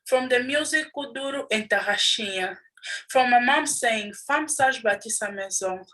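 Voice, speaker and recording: A woman's voice: a little high, normal speed, pushed and bright. A woman reading her own poem aloud in an earnest recitation. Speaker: student poet. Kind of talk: poetry reading. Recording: distant mic.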